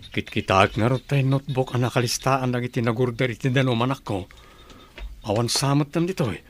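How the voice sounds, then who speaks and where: A resigned, troubled family man played by a male actor in a radio drama, with a mid-pitched voice and a Filipino accent. The recording carries clear noise behind him. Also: brisk, natural voice. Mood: weary. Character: troubled husband and father with failing eyesight, resigned.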